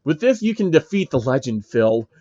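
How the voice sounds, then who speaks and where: Calm instructive male mentor read by a male narrator, middle pitch, muffled amateur story recording. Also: normal speed, dry recording, close to an everyday voice. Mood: encouraging.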